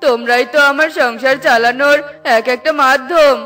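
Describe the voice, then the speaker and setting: A woman voicing a kindly, hardworking male bird who works as a boatman, speaking gently. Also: high-pitched, normal speed. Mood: apologetic.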